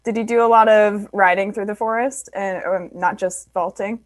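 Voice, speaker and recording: A woman's voice: medium pitch, steady tone, moderate pace, dry recording. A woman hosting an interview over a video call, upbeat and friendly, with short affirmations.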